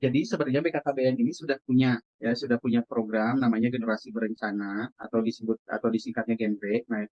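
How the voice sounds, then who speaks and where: A man's voice: medium pitch, steady tone, fast, no room sound, dull audio. A man being interviewed who explains a family planning program, with hesitant fillers. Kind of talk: answering interview questions.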